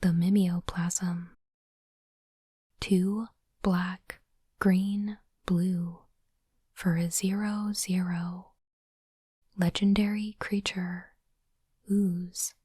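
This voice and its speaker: A woman doing ASMR in a soft, breathy whisper, speaking in a hushed, deliberate way as she hosts an unboxing. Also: lowish voice, flat delivery, no echo, studio quality. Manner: slow, deliberate, hushed delivery. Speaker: host of ASMR card unboxing channel. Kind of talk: ASMR whispering, close and gentle narration.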